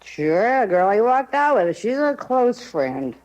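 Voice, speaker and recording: A woman's voice: on the high side, sing-song, unhurried, darkish tone, lo-fi sound. An American woman playing a brassy, curt barfly informant in a 1950s radio crime drama, slangy and offhand.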